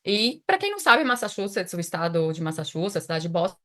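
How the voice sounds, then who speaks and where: Chatty low-voiced Brazilian woman. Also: big pitch swings, fast, a bit bright. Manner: chatty, many restarts and fillers. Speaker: guest, immigration consultant. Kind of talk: casual interview conversation.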